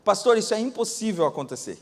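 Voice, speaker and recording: A male pastor with a Brazilian Portuguese accent, preaching a sermon and telling testimonies dramatically, swinging between loud bursts and hushed asides. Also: sits high, big pitch swings, fast, long reverb tail. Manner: dramatic storytelling, acting out quoted voices, swinging from loud to hushed. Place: church.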